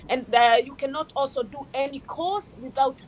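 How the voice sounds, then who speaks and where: Guest, woman, middle-aged, high-pitched, halting delivery. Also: a little hiss or hum, rough recording. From Kenya. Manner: searching for words, halting restarts. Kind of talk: casual interview answers.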